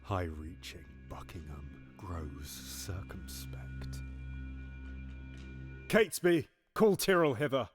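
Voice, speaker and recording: A ruthless, paranoid king in a Shakespearean audio play, with a low voice that leaps wildly in pitch. He drops to hissing whispers, then bursts out in anger, all at a slow, deliberate pace. Faint music plays under him. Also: huge pitch swings, full character voice. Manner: shifts between menacing whispers and sudden outbursts. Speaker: actor in audio drama.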